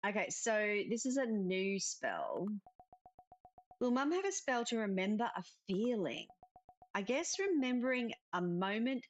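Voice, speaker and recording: A woman's voice: a little high, big pitch swings, a little slow, soft background music. A woman reading the part of a friendly young witch, unsure and apologetic, in a cozy visual novel. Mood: sheepish, tentative.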